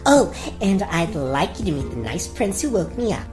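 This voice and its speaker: A woman's voice: lowish voice, very animated, moderate pace, music in the background. A woman voicing a sassy, impulsive young princess, blunt and dramatic, in a cartoon parody. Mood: exasperated. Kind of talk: cartoon gag-dub parody.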